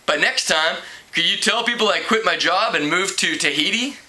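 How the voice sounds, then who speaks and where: Man reading as a griping, bright-voiced overworked reporter. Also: high-pitched, normal speed, voice pushed up. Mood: exasperated. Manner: informal, grumbling. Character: harried, overworked male newspaper reporter, casual and griping.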